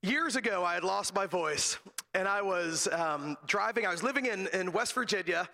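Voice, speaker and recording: A man preaching from a church pulpit on a handheld microphone, hoarse and raspy today, telling a folksy personal story with frequent laughs. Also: high voice, lively intonation, normal speed, sharp tone. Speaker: guest preacher. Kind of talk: sermon with humorous personal story.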